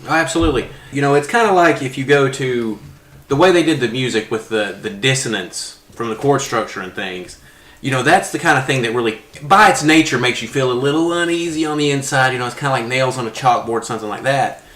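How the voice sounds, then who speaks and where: A man of medium pitch recorded with clear room echo. He talks casually as a podcast panelist, explaining things through everyday comparisons. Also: fast talker, a bit bright. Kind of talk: casual film discussion. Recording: roomy, echoing sound.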